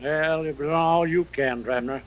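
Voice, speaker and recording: An actor playing a middle-aged, reform-minded western town mayor, speaking with formal authority in an old radio drama. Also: male voice, fairly high pitch, unhurried, natural voice. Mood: conversational, resolute. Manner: authoritative, formal meeting chairman. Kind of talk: 1950s radio drama acting.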